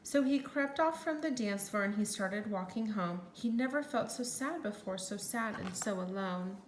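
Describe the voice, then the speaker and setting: A woman reading a rhyming children's picture book aloud in an expressive storytelling way. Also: medium pitch, normal speed. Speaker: story reader. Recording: roomy echo, clear background noise.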